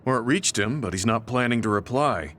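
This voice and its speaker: A man playing a weary, guilt-ridden veteran soldier in a video game, speaking in a measured, serious way. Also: medium pitch, lively intonation, fairly bright tone, a little hiss or hum, natural voice. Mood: resigned. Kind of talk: English video game voice acting.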